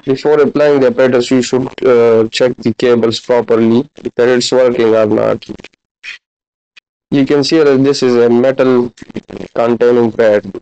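A man in his thirties giving a halting, instructional practical demonstration as a physiotherapy teacher. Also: medium pitch, steady tone, dark tone, clean tone. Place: large indoor classroom clinic room. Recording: dull, lo-fi camera audio.

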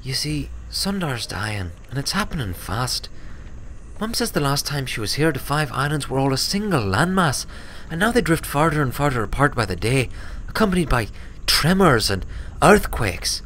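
Grave, determined pony filly, read by a man, storybook tale, wordy and precise, animated intonation, noisy recording. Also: mid-range voice, normal speed. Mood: earnest, grave. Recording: clear background noise.

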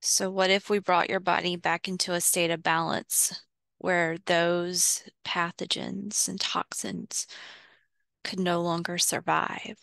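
Slow, creaky-voiced woman guiding meditation. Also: lowish voice, pushed and bright, dry recording. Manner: measured, pausing between short phrases. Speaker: guided meditation host. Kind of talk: guided visualization, slow soothing instruction.

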